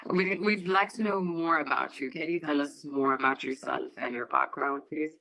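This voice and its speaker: A young woman in her twenties moderates the interview with a bright, forward voice. The recording is close and dry, with no room around her. Her questions come out earnest and a little halting. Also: low voice, gravelly, dry recording, rough recording. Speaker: podcast moderator, medical student. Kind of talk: scripted intro and interview questions.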